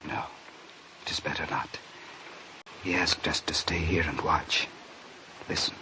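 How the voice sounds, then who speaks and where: An actor playing a gentle, anxious disciple of Jesus in a religious radio drama, with a grave, hushed manner. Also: male voice, very deep voice, steady tone, unhurried, whispered, full character voice. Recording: noisy old radio drama recording.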